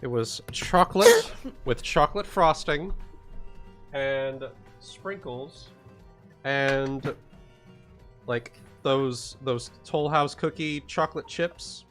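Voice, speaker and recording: A man talking casually as a player in an online tabletop game, telling a relaxed, drawn-out story. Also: medium pitch, soft background music. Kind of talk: casual chat during an online game session.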